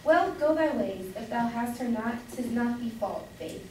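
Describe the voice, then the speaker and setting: A woman's voice: on the high side, animated, unhurried, darkish tone. A woman playing a simple, opinionated, doting grocer's wife, gossipy and exclamatory, in a staged reading of a period comedy. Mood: admiring. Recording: echoey room from far off, faint noise.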